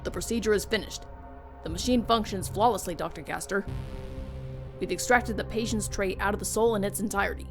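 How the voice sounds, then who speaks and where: A woman's voice: mid-range voice, a little fast, close to an everyday voice. A woman playing a dutiful, businesslike laboratory assistant in a comic dub, announcing procedures in clipped phrases. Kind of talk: dramatic comic dub acting. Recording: prominent music behind voice.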